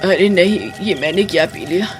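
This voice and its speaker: A greedy female witch ghost acted by a woman from a children's cartoon. She threatens and taunts in drawn-out words, craving liquor. Music plays underneath. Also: fairly low pitch, natural voice. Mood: agonised. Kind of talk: Hindi children's cartoon voice acting.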